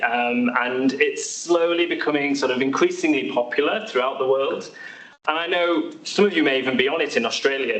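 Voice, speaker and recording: Young British male doctor, friendly and halting, captured in a big echoey room. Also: medium pitch, fairly bright tone. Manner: friendly, many fillers and restarts. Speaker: haematology doctor. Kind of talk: seminar talk to patients. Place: large echoey hospital hall. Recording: roomy hall sound from far off.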